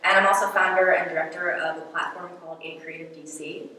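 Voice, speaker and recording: A woman introduces herself on a panel in a casual manner. Her voice sits a bit low. She sounds far off in a hall with a long, echoing tail. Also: level tone, fast talker, bright, forceful tone. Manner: casual, uses "like". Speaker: creative strategist, panelist. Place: large event hall.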